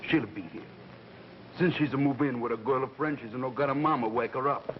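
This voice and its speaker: An actor playing a gruff but fond Italian immigrant father, speaking broken English with an Italian accent in a 1930s film. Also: medium pitch, fairly quick, close to an everyday voice. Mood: encouraging. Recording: muffled, noisy 1930s film soundtrack.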